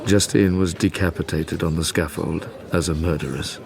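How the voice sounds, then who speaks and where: An actor playing a tormented, guilt-ridden young British gentleman scientist in an audio drama, speaking gravely and with literary care. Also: deep voice, steady tone, moderate pace, a crowd in the background. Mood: grief-stricken. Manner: grave, brooding, literary delivery. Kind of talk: audio drama narration and dialogue.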